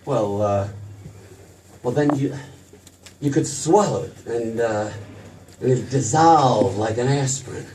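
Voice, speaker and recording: An actor playing an eager young small-town dreamer in a radio drama, stammering and excitable, with a folksy manner. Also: male voice, medium pitch, takes it slowly, long pauses, close to an everyday voice. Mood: flustered, playful. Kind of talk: old-time radio drama stage acting. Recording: noisy stage recording from far off.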